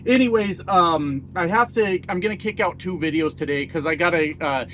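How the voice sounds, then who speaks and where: A man's voice: fairly high pitch, moderate pace, fairly bright tone, slight background noise, poor audio. A middle-aged American man giving blunt, casual political commentary, folksy and self-mocking, swearing freely. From United States. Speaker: YouTube commentator.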